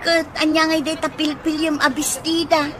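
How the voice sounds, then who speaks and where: A woman's voice: high voice, level tone, moderate pace, natural voice. A woman playing a nosy, needling village mother with an Ilocano accent in a radio comedy drama. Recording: noisy radio drama recording, ambient sounds.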